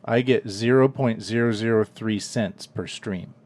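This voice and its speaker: A man's voice: on the low side, unhurried, a little mellow. Curious, blunt man in his thirties. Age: 30s. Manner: curious, blunt, swears when riled. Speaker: podcast host. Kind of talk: casual interview chat.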